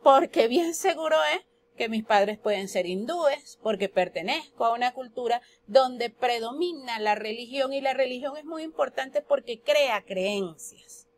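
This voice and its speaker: A woman's voice: on the high side, lively intonation, a little slow. A woman from Colombia with a Colombian Spanish accent, giving a spoken lesson on astrology that poses questions and then answers them. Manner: explanatory, asks and answers her own questions. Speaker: astrology teacher.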